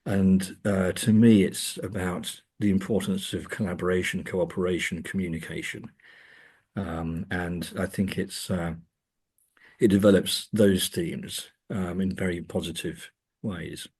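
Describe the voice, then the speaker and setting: A man speaking as an academic guest in an interview, thoughtful and halting, often repeating words as he goes. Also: deep voice, flat delivery, a little mellow, slightly rough. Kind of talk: thoughtful interview discussion of screen adaptations.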